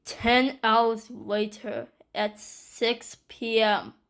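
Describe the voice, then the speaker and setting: A woman reading a scripted emergency alert announcement in a stilted, deliberate manner. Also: fairly high pitch, a bit bright.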